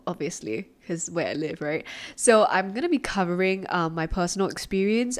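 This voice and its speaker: A young Singaporean woman in her twenties talks through her solo podcast from her own apartment, casual and chatty. Her voice sits a little low for a woman, and she fills her sentences with "like" and quick asides. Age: 20s. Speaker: podcast host.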